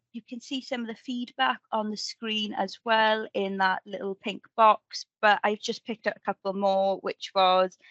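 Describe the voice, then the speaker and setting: A slightly muffled, very dry recording of a woman presenting to colleagues at a meeting. She speaks at a middling pitch in a chatty, upbeat way and leans on key words for emphasis. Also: a bit bright, dry recording. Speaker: committee member presenting at an annual meeting. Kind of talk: informal work presentation with event recap.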